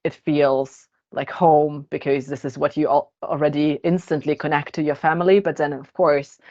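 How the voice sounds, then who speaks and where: A woman whose first language is Russian hosts a podcast talk, reflective and steady as she builds long thoughts. Her voice sits very low. The audio is dull and lo-fi. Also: moderate pace. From Kazakhstan. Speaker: podcast host. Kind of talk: casual panel discussion.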